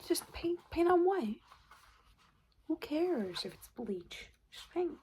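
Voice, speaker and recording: A woman speaking softly and breathily in an ASMR roleplay, often slipping into a whisper, chatty and a little hesitant. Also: very high-pitched, very animated, slow. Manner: hesitant, chatty, murmured reading aloud. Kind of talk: soft-spoken ASMR roleplay. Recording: noticeable background noise. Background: paper and tapping sounds.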